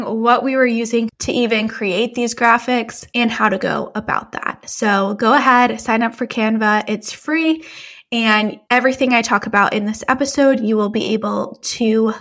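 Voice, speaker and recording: A woman's voice: a little high, a bit bright. A young woman hosting a podcast, chatting in a casual, upbeat way while fighting a cold, with frequent light laughs. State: has a cold. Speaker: podcast host.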